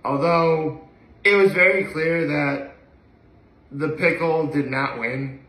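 A young man talks casually to a camera a few feet away. His voice is bright and forceful, pitched a little higher than most men's. He rambles with enthusiasm, and the room adds a clear echo. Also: steady tone, slow pace. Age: young adult. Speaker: vlogger. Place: living room, indoors. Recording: echoey room, mic at a distance.

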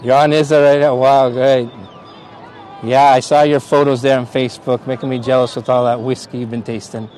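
A man's voice: mid-range voice, level tone, fairly quick, a little mellow, slight background noise. A middle-aged man from Canada with a Canadian accent, a tour guide chatting casually on a live stream outdoors, laughing often and greeting viewers by name. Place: outdoor hilltop park. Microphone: phone on gimbal at arm's length.

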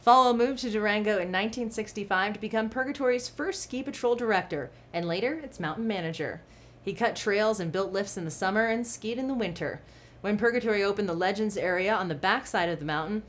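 Young woman reading local TV news. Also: mid-range voice. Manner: clear, even newsreader delivery. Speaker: news anchor. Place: TV news studio. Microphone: studio mic, seated at desk.

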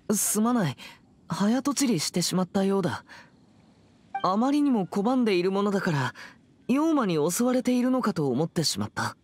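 A man's voice: high voice, darkish tone. Earnest teenage boy exorcist. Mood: sheepish. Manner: serious, polite, slightly stiff. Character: earnest, composed teenage boy exorcist hunting evil spirits. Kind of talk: anime game character acting.

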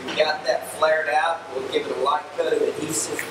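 A middle-aged man, calmly explaining livestock grooming with plenty of ums. He sounds distant from the mic, in a large, roomy indoor barn with a long echo. A steady air-conditioning hum sits behind him. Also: fairly high pitch, normal speed. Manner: relaxed, explanatory, with frequent ums and restarts. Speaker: livestock fitting instructor and show judge. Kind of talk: demonstration tutorial. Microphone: far camera mic.

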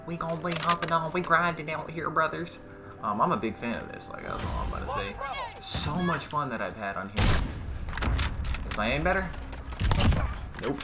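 A young man with a raspy, hoarse voice from being sick, giving casual, slangy, excitable live commentary while he plays a game at home on a headset. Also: fairly high pitch, moderate pace, long pauses. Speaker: gaming YouTuber. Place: indoor room at home. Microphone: headset with webcam. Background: game gunfire and music.

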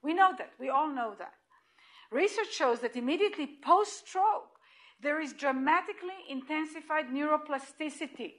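A middle-aged woman with an Israeli accent, giving an animated lecture in a room, heard from a distant camera. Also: high-pitched, normal speed. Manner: animated and emphatic, with restarts and repeated points. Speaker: lecturer at hospital grand rounds. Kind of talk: lecture presentation.

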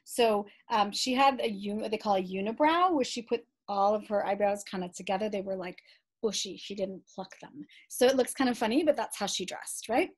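A middle-aged woman reads a children's book aloud expressively and deliberately, adding chatty explanatory asides for young listeners. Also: medium pitch, slightly rough.

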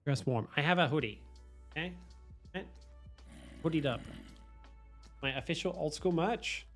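A man, streaming a game from home on a headset, hoarse from a cold, swearing and joking with chat. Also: a little high, unhurried, fairly bright tone, soft background music. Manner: swearing, self-mocking, chatting to viewers. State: hoarse, losing his voice from a cold. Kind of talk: casual live-stream chatter while playing.

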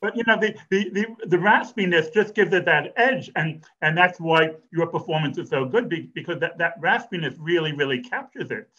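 Middle-aged guy hosting a video chat from home, slightly high voice, says "the, the, the" a lot. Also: expressive, sharp tone, highs cut off. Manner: halting, repeats words before a point. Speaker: host. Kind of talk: casual interview. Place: home room. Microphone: webcam on a video call.

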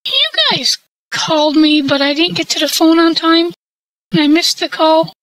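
Man with voice changer as woman caller, coy, scolding, high pitched, bright. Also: level tone, moderate pace, poor audio. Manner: coy, scolding, calls people pet names. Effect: voice-changing software. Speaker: scambaiter. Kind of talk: prank call.

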